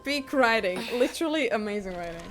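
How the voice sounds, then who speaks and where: A woman's voice: on the high side, lively intonation, a little fast, background noise. A young woman livestreamer chatting casually as she reacts to videos and answers her viewers. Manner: chatty, reading and answering chat. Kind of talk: casual reaction stream chat.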